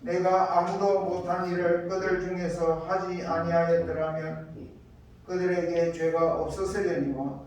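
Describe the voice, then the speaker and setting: A man preaching as a pastor, reading scripture and explaining it deliberately in short phrases. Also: sits high, level tone, darkish tone. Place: church hall. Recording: echoey church, distant mic, faint noise.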